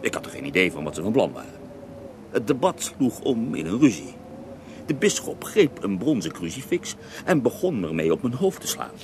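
A man's voice: lowish voice, sing-song, normal speed, noisy background. An actor playing a resentful Russian holy man who recounts his life in a radio drama, with a scornful, theatrical edge. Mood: bitter. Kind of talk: radio drama acting, interview-like narration.

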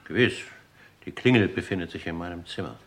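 An actor playing a gaunt, older English manservant in a radio crime drama, formal, precise and deferential. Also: male voice, deep voice, fairly quick. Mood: dutiful. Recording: old radio recording, noisy background.

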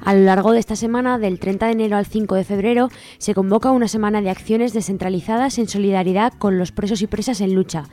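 A woman's voice: mid-range voice, fairly even intonation, fast talker, dark tone. A woman with an accent from Spain, hosting a radio show and informally reading out event announcements. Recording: music playing behind the voice. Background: music.

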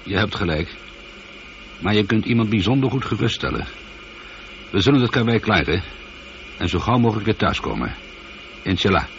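A man's voice: fairly low pitch, lively intonation, moderate pace, pauses often. A man playing a wry space pilot in a science fiction radio drama, talking in a dry, informal, conversational way. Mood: wry, relaxed. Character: male space pilot, wry, teasing, easily irritated but apologetic. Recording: dull, lightly noisy old radio recording.

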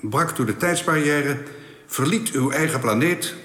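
An actor playing a courteous, matter-of-fact alien official in a Dutch science fiction radio play, speaking formally and in measured phrases. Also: male voice, on the high side, expressive, sharp tone. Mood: formal, polite. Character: courteous, matter-of-fact alien official man explaining his planet's laws. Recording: echoey reverberant hall effect.

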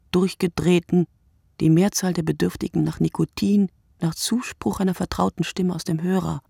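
A performer reading a literary radio play monologue in short, deliberate, reflective phrases. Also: mid-range voice, gravelly, dark tone, breathy edge.

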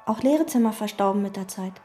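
An actress playing a withdrawn, obsessive hotel chambermaid in a radio play, speaking in calm, terse sentences. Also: female voice, mid-range voice, a little fast, faint music behind, natural voice. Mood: detached. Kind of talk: radio play, inner monologue and dialogue.

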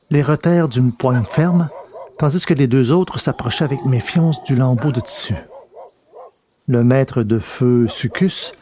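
A man narrating a scripted dramatic story in a measured, literary delivery. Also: mid-range voice, mellow. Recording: noticeable background noise.

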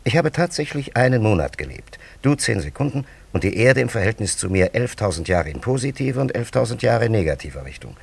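An actor playing a dignified, pleasure-loving time traveller from an ancient land in a radio drama, with a gravelly, husky voice and lofty, formal diction. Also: male voice, fairly low pitch, big pitch swings, a little fast, few pauses, exaggerated voice. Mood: lofty, self-satisfied. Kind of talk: German radio drama acting.

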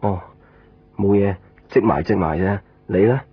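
A man's voice: on the low side, measured pace, slightly rough. An actor playing a polite, brooding male hospital patient in a radio drama. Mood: polite, hesitant. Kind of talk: radio drama acting with first-person narration. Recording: muffled 1990s radio drama, faint music.

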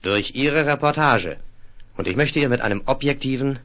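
A man playing an authoritative, genial state marshal in a science fiction radio play, speaking in a measured, courteous way. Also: mid-range voice, expressive, fairly bright tone, lightly acted. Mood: measured, official. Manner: measured, courteous, jovially commanding. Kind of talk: 1970s German radio play acting.

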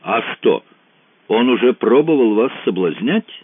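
A man's voice: mid-range voice, animated, unhurried, no echo. A man reading the lines of a cheerful, teasing young woman in an audiobook, lifting his voice a little. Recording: dry, narrow phone-like sound.

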